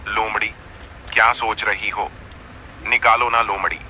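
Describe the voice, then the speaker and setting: A man's voice: lowish voice, little pitch movement, a little slow, pushed and bright, slight background noise, voice pushed down, rough recording. A man voicing a proud lion king of the jungle, by turns imploring and imperious, in a cartoon fable. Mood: coaxing.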